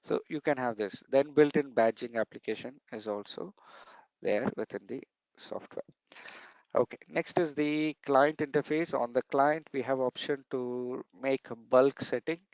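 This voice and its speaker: A man's voice: mid-range voice, a little slow, a little mellow, smooth, no room sound, highs cut off. A man giving a technical training lesson over slides, explaining step by step and repeating key points.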